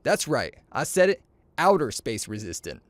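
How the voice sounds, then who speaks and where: Guy reading a bedtime story, really animated and playful, acts out the cocky lines, dry sound. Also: medium pitch, moderate pace, no echo. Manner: expressive, playful, boastful delivery of dialogue.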